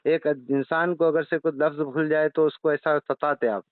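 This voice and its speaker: A man with a fairly high, clear voice argues insistently in a heated religious debate. He pushes his points and talks over his opponent. The sound is a dry, thin phone call recording. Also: steady tone, normal speed, no echo. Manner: argumentative, insistent, interrupting.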